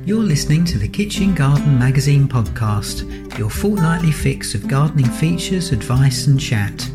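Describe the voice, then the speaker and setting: A woman's voice: extremely low pitch, lively intonation, normal speed. A woman reading a scripted podcast introduction as the announcer. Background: guitar music.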